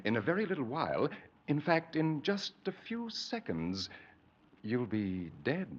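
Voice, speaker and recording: On a dull old radio recording, a scheming male villain with a swooping, animated delivery. He is theatrical and over-polite, halting as he soothes, in 1940s horror drama style. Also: mid-range voice, breathy edge. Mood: sinister, calm.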